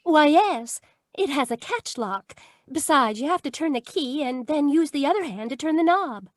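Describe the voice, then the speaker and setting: A woman's voice: high voice, expressive, normal speed, no room sound, clean studio sound. A woman playing a ditzy, fretful, prim schoolteacher in a 1940s-style radio mystery. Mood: prim, earnest. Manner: flighty, proper. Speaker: actor in a radio play. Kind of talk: 1940s detective radio acting.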